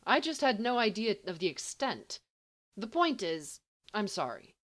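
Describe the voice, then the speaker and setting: A woman's voice: medium pitch, very animated, a bit bright, voice pushed up. Audiobook role: dry-witted bookish teenage boy voiced by a woman, exasperated, grumbling, with very varied intonation. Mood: wry. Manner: grumbling, sardonic, halting when flustered.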